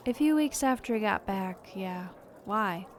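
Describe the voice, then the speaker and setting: A woman's voice: medium pitch, lively intonation, unhurried, lightly acted. A woman plays a candid friend in an audio drama. Her mid-range voice is frank, supportive and conversational, with faint ambient noise behind it. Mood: casual, weary. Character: candid, supportive female friend working in law enforcement.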